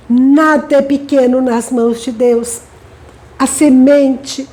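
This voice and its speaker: A woman's voice: sits high, darkish tone. An older Brazilian woman sharing a church devotional in a conversational way, often adding tag questions. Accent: Brazilian Portuguese. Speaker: church teacher. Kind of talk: devotional talk.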